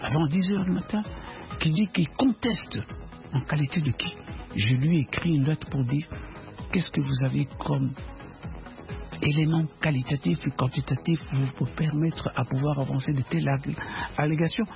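A man's voice: on the high side, moderate pace, vocal fry. A man from the Democratic Republic of the Congo with a Congolese French accent, speaking as an interview guest in a combative, emphatic way full of rhetorical questions. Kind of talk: argumentative political interview. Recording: dull lo-fi radio sound, faint music.

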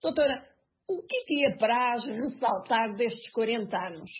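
Female radio host, husky and chatty, dull broadcast sound. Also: a little high, animated, moderate pace. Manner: chatty, repeatedly addressing the doctor. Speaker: radio health segment host. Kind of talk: radio health program presenting.

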